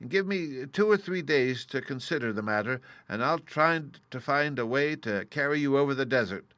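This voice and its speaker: A man's voice: medium pitch, lively intonation, normal speed, a bit bright, no echo, pitched above the performer's own voice, close to an everyday voice. A man reading aloud and voicing a meek, apologetic humbug wizard who admits he is no magician. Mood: confiding.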